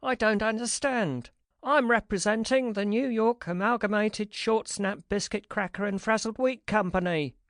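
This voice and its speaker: A woman playing a cool, sly young male safecracker with a smooth, innocent-sounding manner in an audiobook story. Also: mid-range voice, moderate pace, no echo, studio quality, lightly acted.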